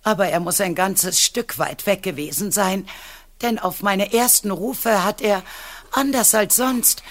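A woman's voice: mid-range voice, a little slow, lightly acted. An actress playing a friendly, worried woman who owns a pet bird, explaining things articulately in a German audio drama. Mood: concerned, confiding.

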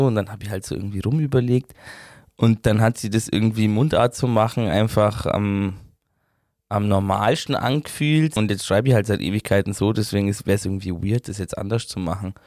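A young man with a Bavarian accent, the songwriter and guitarist of a band, answering interview questions in a relaxed, rambling way full of fillers. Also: on the low side, brisk, no echo, studio quality.

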